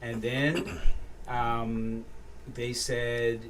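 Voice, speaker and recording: Animated male actor, a bit off-mic. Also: mid-range voice, extremely slow. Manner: animated storyteller, laughs often. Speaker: actor, interview guest. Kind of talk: casual chat. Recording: slightly distant mic, faint noise.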